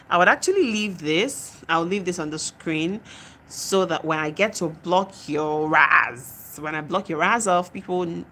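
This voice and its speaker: A woman's voice: lowish voice, a bit bright, slight background noise. A young adult woman with a Nigerian accent, chatting casually on a live stream as she reads out and answers viewer comments, laughing often.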